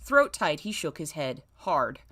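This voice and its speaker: A woman reading a story aloud as host, in an expressive, theatrical way. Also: sits low, a little slow. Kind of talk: dramatic story reading with amused asides.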